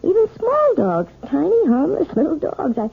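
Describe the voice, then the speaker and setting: An actress playing a timid, frightened housewife in a 1970s radio drama, talking hesitantly and stammering. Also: female voice, very high-pitched, wildly swooping pitch, moderate pace, creaky, heavily acted. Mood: anxious. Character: timid housewife, afraid of dogs. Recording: tinny phone-like old radio recording.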